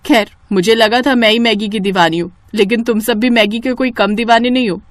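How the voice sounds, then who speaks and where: A woman's voice: a little high, steady tone, brisk, no room sound, pitched above the performer's own voice, close to an everyday voice. A woman voicing an emotional, exclamatory young daughter-in-law in a cartoon family story. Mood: cheerful, teasing. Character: cheerful young daughter-in-law, playful, later in anguished pain.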